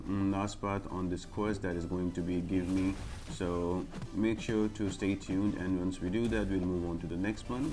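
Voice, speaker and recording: A man giving a casual, step-by-step video game walkthrough, explaining things directly to the viewers. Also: low-pitched. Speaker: host of a gaming guide channel.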